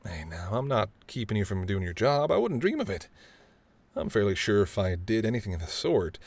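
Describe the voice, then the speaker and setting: A man's voice: lowish voice, background noise. A man playing a charming, teasing mafia boss who is smitten, speaking intimately and playfully to the listener in a roleplay audio drama. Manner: smooth-talking, playful, flirtatious, addresses listener as doll. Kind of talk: intimate second-person roleplay audio acting.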